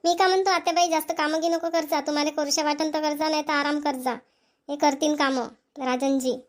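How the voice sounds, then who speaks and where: A woman's voice: high voice, fairly even intonation, brisk, lightly acted. A woman voicing a caring, dutiful young newlywed wife in a cartoon family comedy, with a Varhadi Marathi accent from Vidarbha.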